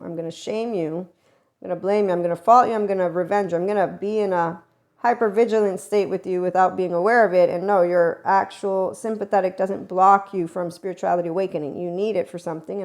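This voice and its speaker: A middle-aged woman hosting a spiritual self-help podcast, talking in a rambling, emphatic monologue that often swings in loudness. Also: medium pitch. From America and Italy. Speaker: podcast host.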